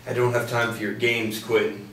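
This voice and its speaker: A man's voice: mid-range voice, level tone, moderate pace, a bit bright, distant mic, natural voice. A man plays a grim male vigilante who speaks curtly and sternly in a mid-range voice. The reading was recorded in an echoey room. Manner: curt and stern. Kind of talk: table reading.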